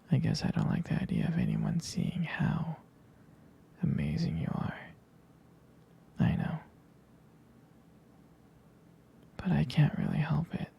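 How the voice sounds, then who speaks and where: A man playing a jealous, devoted boyfriend in an ASMR roleplay, speaking intimately and haltingly in a breathy, husky whisper. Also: a little high, mellow, dull audio, exaggerated voice. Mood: apologetic, devoted. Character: jealous, possessive, devoted boyfriend soothing his sweetheart.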